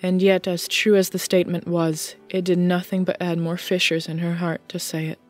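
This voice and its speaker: A woman narrates a scripted audiobook story. Her voice sits a little low in pitch, and faint music plays behind her. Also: flat delivery, normal speed.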